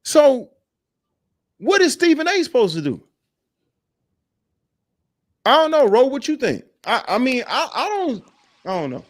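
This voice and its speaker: An American man with a high voice for a male speaker hosts a heated sports debate. His delivery is very animated and emphatic, hammering phrases by repetition. The recording is dry and close. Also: measured pace, long pauses, no room sound. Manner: emphatic, repeats phrases for effect. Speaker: host of a sports debate show.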